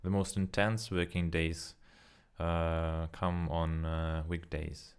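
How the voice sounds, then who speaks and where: A man's voice: low voice, flat delivery, slow, clear, resonant tone. A young man whose first language is not English, talking casually to camera in a rambling way with many fillers and self-corrections. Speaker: vlogger. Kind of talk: casual spoken practice vlog.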